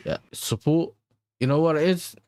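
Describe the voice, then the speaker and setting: A man's voice: fairly high pitch, normal speed, a little mellow, clean tone, dry recording. A man from the Netherlands with a London accent, chatting casually as a football livestream host in slangy, conversational, repetitive phrasing. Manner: slangy, conversational, repeats himself. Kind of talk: casual livestream chat answering viewers.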